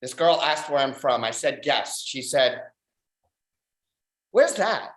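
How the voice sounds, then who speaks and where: A man doing a stand-up comedy set over a video call, delivering dry deadpan one-liners. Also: mid-range voice, takes it slowly, reverberant. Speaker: stand-up comic. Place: abroad in a home room.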